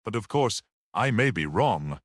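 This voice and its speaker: A man voicing a mild, quietly grave little priest-detective in an audiobook, gentle and matter-of-fact. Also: on the low side, very animated, measured pace, no echo, studio quality.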